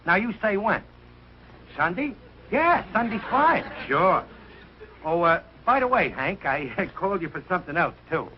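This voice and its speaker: A hard-up, good-hearted American family man, blustering and pleading in old sitcom style. His voice sits high and jumps around excitedly. The old television soundtrack is dull and noisy. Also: a little slow. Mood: hopeful, flustered. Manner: blustery, colloquial, plaintive. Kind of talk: 1940s TV sitcom acting.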